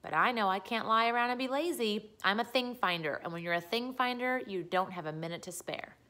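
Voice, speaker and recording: A woman's voice: mid-range voice, a little fast, pushed and bright, natural voice. A woman voicing a bold, carefree young girl in a storybook, with an emphatic, breezy, matter-of-fact manner. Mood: confident, breezy.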